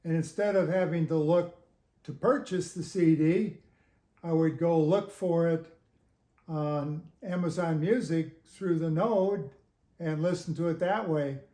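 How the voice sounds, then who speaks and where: A man in his seventies giving casual interview answers in a halting, measured way with frequent pauses. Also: on the high side, warm tone. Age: 70s. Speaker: guest reviewer of audio gear. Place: home living room with hi-fi gear. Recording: echoey room.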